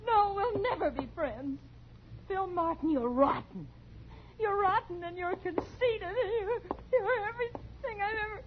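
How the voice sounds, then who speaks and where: A spurned woman in a 1940s radio thriller, her voice very high and swinging widely with hurt and bitterness. She is emotional and melodramatic, heard through the clear noise of an old broadcast. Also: expressive, unhurried. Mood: bitter, angry. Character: jilted woman, wounded, pleading, turning bitter and furious. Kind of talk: 1940s radio drama acting. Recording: noisy, dull 1940s radio recording.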